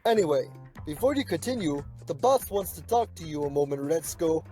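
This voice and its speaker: Man voicing a stern, animated office supervisor. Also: a little high, brisk, music in the background, natural voice. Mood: officious. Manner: scolding, bossy. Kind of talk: animated comic dub acting.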